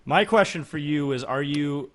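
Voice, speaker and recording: A man's voice: fairly high pitch, moderate pace. A man co-hosting a casual interview, chatting informally with his guest. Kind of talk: casual chat.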